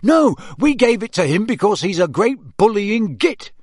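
Man voicing an eager, high-pitched teenage brother. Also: big pitch swings, measured pace, a bit bright, no room sound, clean studio sound, heavily acted. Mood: indignant, blunt. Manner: eager, blunt. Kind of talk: audiobook character voice.

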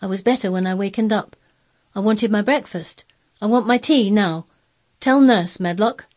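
A woman's voice: mid-range voice, moderate pace, a little mellow, pitched above the performer's own voice, lightly acted. A woman voicing an imperious, wilful, sickly ten-year-old boy in a storybook, giving orders in a commanding way. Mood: insistent. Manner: commanding, peremptory. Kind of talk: storybook character voice.

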